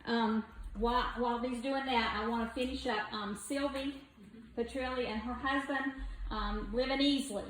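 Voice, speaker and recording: A woman's voice: on the high side, steady tone, normal speed. A woman running a public meeting, putting direct questions to officials. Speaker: meeting organizer. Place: meeting room. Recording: echoey room, faint noise.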